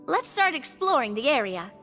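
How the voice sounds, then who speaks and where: A woman playing an earnest, curious young adventurer in a video game, eager and expressive. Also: high-pitched, moderate pace, faint music behind, full character voice.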